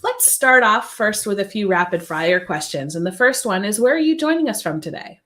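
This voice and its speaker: Woman hosting a live show, upbeat, lots of quick mm-hmms and friendly questions. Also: mid-range voice, animated, a bit bright. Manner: upbeat, welcoming, brief acknowledgments. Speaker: podcast host.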